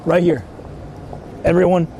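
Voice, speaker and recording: A man's voice: fairly high pitch, steady tone, a little slow. A man from Japan chatting casually to livestream viewers in slangy, repetitive phrases, out on a city street. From Japan (Ichihara). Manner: repeats himself, informal slangy talk. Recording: noisy urban street with traffic.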